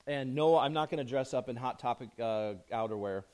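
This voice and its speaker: Hoarse pastor, joking and chatty. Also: male voice, mid-range voice, a little fast, a little mellow. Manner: chatty, self-joking, rambling with restarts. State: hoarse from a chest cold. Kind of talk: conversational sermon.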